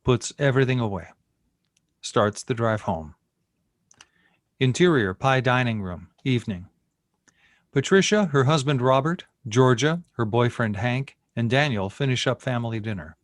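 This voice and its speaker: A man's voice: medium pitch, animated, normal speed, no echo. Dry-sounding man narrating a screenplay. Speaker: stage-direction narrator. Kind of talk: scripted narration at a screenplay table read.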